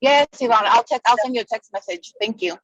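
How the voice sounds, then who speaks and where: A woman with a Spanish accent asks questions on a coaching call, hesitant and restarting often. Her voice sits somewhat high and sounds dry and close. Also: fast, no room sound, lo-fi sound.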